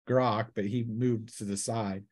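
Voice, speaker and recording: A man's voice: on the low side, fairly even intonation, measured pace, a little mellow. Man casually running a tabletop game. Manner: casual, conversational. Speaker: game master. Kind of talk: narrating a tabletop role-playing game.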